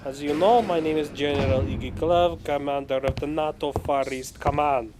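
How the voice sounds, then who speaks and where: A man's voice: a little high, steady tone, moderate pace, noisy background, natural voice. A man playing a sly, blunt military general with an authoritative, pointed manner in an audio drama. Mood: commanding.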